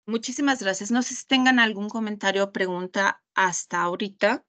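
A woman with a Mexican Spanish accent leading a webinar over a video call, walking through a website step by step in a deliberate, pausing way. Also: medium pitch, fairly even intonation, sharp tone. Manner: slow, deliberate, pausing often. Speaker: webinar trainer. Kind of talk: screen-share product demonstration.

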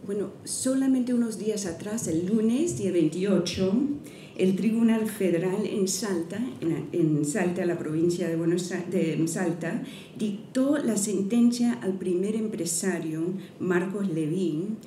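A middle-aged woman, not a native speaker, giving a careful, halting academic lecture at a podium, partly read aloud, with many restarts. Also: mid-range voice, dark tone, a little hiss or hum. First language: not Spanish. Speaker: guest lecturer. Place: university lecture hall, at a podium. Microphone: podium microphone. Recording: echoey hall, mic from a distance.

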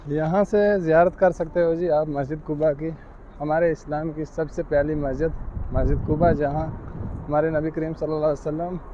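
Walking-tour vlogger, man, slightly high voice, devout and pointing things out, street noise behind. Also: highs cut off. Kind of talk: casual walking-tour narration. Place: outdoors on city streets and at a mosque. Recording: noisy outdoor phone recording.

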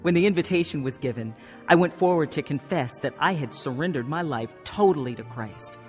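A woman's voice: sits low, animated, fairly quick, soft background music. An actress playing a devout, grateful born-again woman telling her life story in a heartfelt radio drama. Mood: earnest. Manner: earnest, heartfelt. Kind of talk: radio drama narration and dialogue.

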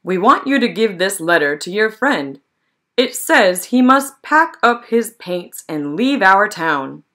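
A woman's voice: medium pitch, big pitch swings, slow, bright, forceful tone, full character voice. Disapproving village men at the door giving orders in a picture book, as a woman reader acts them out. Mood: stern, demanding. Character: stern, disapproving townsmen, bossy and scornful.

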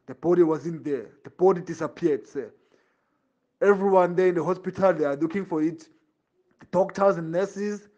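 Grovelling henchman played by a man, high voice, deferential, repeats himself, audio drama. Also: darkish tone, highs cut off. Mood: nervous apology. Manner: pleading, repetitive, deferential. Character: servile, desperate henchman grovelling to his boss. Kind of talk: amateur audio drama acting.

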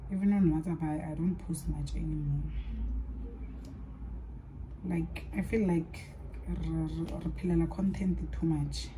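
A young South African woman chats casually to camera while she does her makeup. She talks in a rambling way, switches languages mid-sentence and trails off into soft asides. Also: low-pitched, fairly even intonation, measured pace, long pauses, slight background noise, poor audio. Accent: South African, mixing in Sepedi. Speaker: vlogger.

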